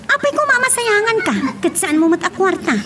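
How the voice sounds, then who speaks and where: An actress playing a spoiled, rebellious young student daughter in a radio romance drama, petulant and flippant. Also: female voice, very high voice, expressive, fairly quick, heavy background noise. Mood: sulky.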